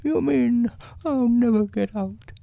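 A performer voicing a gentle, anxious toy bear in a storybook, simple-hearted and plaintive. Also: mid-range voice, slow pace, gravelly, dry recording, muffled recording, voice pushed up.

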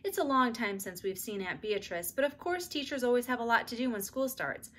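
A woman's voice: on the high side, expressive, brisk, a bit bright. A woman voicing a patient but harried mother of two girls in a storybook, with motherly exclamations.

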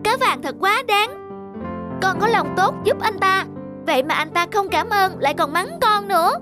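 A woman's voice: very high voice, lively intonation, moderate pace. A woman voicing an eager, cheerful little boy bear cub in a cartoon. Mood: upset. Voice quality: bright childlike. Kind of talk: cartoon voice acting. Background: music.

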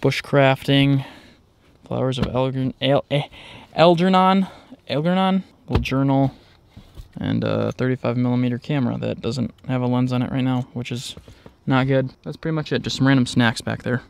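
Male vlogger, clean smooth voice, informal off-the-cuff walkaround delivery recorded outdoors. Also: medium pitch, moderate pace. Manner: rambling, informal. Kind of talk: casual walkaround narration. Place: outdoors in a driveway beside a truck.

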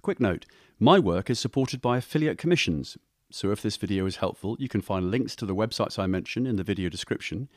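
A man reading a scripted explainer voice-over for a software review video, recorded close to the mic. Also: on the low side, animated, a little fast, dry recording.

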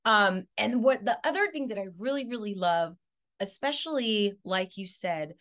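A woman hosts an interview over a webcam from her home office. Her voice sits at an ordinary middle pitch, and she sums up her guest's points with affirming enthusiasm. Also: lively intonation, moderate pace, a bit bright. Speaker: podcast host. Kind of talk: casual video call interview. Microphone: video call webcam.

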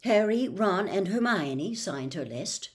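A woman in her sixties reading a children's novel aloud with theatrical, expressive, deliberate phrasing, recorded at home. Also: mid-range voice. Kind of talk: dramatic audiobook-style narration of a children's novel. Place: small bedroom at home.

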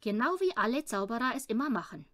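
A woman reading a children's audiobook in an expressive storytelling style. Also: fairly high pitch, fast. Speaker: audiobook reader.

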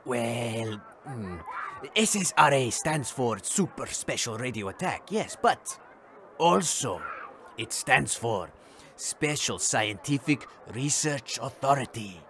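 An actor playing a grandiose crackpot treasure hunter who draws out every revelation in a comedy audio drama. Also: male voice, mid-range voice, expressive, fairly bright tone, slight background noise. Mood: explaining dramatically. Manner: grandiose, drawn-out suspenseful delivery. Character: theatrical male crackpot treasure hunter revealing secrets.

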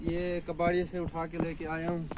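Man, high voice, proud casual chat, outdoors, busy background. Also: monotone, moderate pace, crowd noise. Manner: proud, showing off his things. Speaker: farm owner showing guest around. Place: outdoors on a farm.

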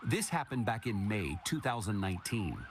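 A man's voice: fairly low pitch, big pitch swings, normal speed. A middle-aged man delivering a broadcast news report as a television correspondent. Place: TV news studio. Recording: noisy replayed news broadcast.

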